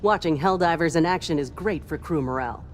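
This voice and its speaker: An actress playing a fanatically loyal, hard-hearted military ship officer in a video game, earnest and coolly zealous. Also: female voice, deep voice, brisk, slight background noise, natural voice. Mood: proud. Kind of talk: video game character dialogue.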